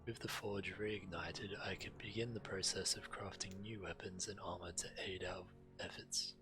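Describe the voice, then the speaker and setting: A man with a very low voice reads quest text aloud as a game streamer. Game music plays clearly behind him. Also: fairly even intonation, moderate pace, rough recording.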